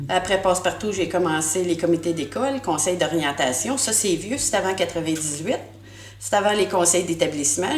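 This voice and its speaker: Earnest Québécois woman in an echoey room. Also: fairly low pitch. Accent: Québécois French. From Quebec, Canada. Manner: earnest, repeats words while searching, many "euh" fillers. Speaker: school board candidate. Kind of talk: campaign interview answers.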